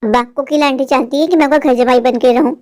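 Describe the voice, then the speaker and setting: A selfish young cartoon man, voiced by a voice actor with a very high, quick delivery. He flatters those he wants to win over and brushes off everyone else. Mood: dismissive. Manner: flattering, dismissive. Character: selfish young man, smooth-talking suitor.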